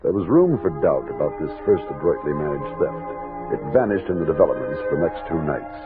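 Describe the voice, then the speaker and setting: An actor playing a steady, commanding expedition captain who narrates and speaks in an old-time radio western. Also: male voice, a bass voice, brisk. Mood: grave, reflective. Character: steady male expedition captain, commanding, thoughtful frontier leader. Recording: narrow-band vintage radio recording with music.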